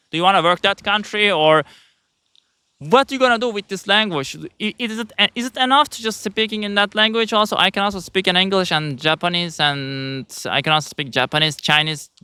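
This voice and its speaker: Young turkish-accented guy rambling to camera, fairly high voice, bright and clear, dry sound. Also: no room sound. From Turkey. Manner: rambling, many fillers and restarts. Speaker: vlogger. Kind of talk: casual talk to camera.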